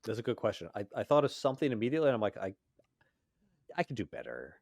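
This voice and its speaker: A man's voice: on the low side, big pitch swings, fast, gravelly, darkish tone, dry recording. A man co-hosting a podcast, chatting casually and jokingly about geek culture. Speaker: podcast co-host.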